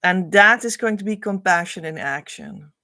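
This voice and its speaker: A woman's voice: on the low side, animated, normal speed, no room sound. Dutch-accented woman teaching, dry close sound. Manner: earnest, repetitive, flowing phrasing with soft trailing endings. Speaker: spiritual coach. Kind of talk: livestream teaching talk.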